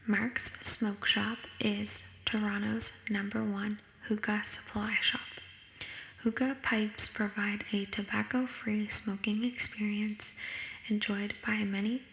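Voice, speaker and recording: A young woman speaks in a hushed ASMR whisper, as if reading study material aloud for a listener. Her pace is slow and her intonation stays even. An echo effect trails her voice throughout. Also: medium pitch. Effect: echo sound effect. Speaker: ASMR creator. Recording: echoing whisper recording.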